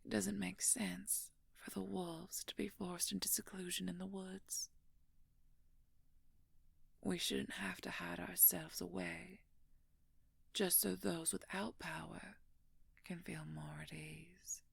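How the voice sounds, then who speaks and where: Woman acting a slow, creaky, menacing werewolf. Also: flat delivery, dry recording, heavily acted. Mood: contemptuous, menacing. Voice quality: breathy, creaky low murmur, often whispered. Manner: slow, smug, condescending, drawn-out menace. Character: menacing, possessive female werewolf, former court mage. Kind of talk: audio roleplay monologue addressed to the listener.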